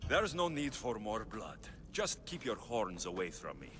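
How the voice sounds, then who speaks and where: An actor playing a wry, confident, battle-hardened mercenary in a video game, with dry sardonic delivery. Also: male voice, lowish voice, big pitch swings, measured pace, a bit bright, slight background noise. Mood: irritated, demanding. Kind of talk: video game character acting.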